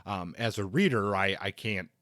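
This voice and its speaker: A man co-hosting a podcast, casually discussing books in a halting way, often rephrasing as he thinks aloud. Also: lowish voice, fairly bright tone, no echo, clean studio sound.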